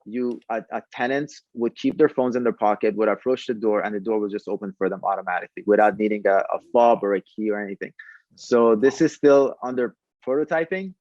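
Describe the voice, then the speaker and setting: Low-voiced man explaining his product. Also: fairly quick, a little mellow, no echo, highs cut off. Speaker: startup founder, podcast guest.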